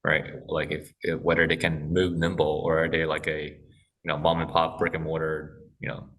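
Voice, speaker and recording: A man speaking as a podcast guest, talking haltingly with many restarts and fillers. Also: extremely low pitch, monotone, a bit bright, recorded from a distance. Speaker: guest, corporate development head. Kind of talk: casual podcast interview.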